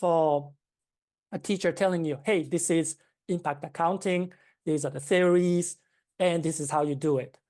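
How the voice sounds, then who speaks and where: A woman's voice: low-pitched, dark tone. A woman from Japan with a Japanese accent, a founder being interviewed, explaining at length with many fillers and restarts. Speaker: startup founder, guest.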